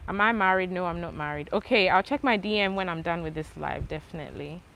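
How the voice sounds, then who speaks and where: A woman's voice: on the low side, noisy background. A young woman with a mixed Ghanaian, English and Australian accent, chatting casually outdoors as she answers viewers' questions live. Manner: chatty, relaxed, thanks viewers, spells things out slowly. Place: outdoors under a palm tree.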